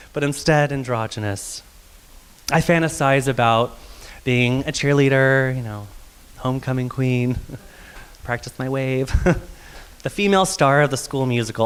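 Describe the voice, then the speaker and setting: Male storyteller from Texas, soft feminine-leaning voice, confessional and wryly humorous, mid-range pitch, long hall reverb. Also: clear, resonant tone. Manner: confessional and wry, with light laughs. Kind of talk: live personal storytelling monologue.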